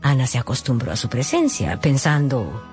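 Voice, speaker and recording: A woman with a low, very animated voice narrates over faint music. She tells the story in a wry, knowing way, as if confiding in listeners. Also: moderate pace.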